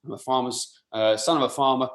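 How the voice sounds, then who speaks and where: Coach, roomy echoing sound. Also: male voice, medium pitch, steady tone, a little fast, a bit bright. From rural mid Wales. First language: Welsh. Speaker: fitness coach presenting a webinar. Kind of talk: informal live online presentation. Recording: roomy, echoing live webinar audio.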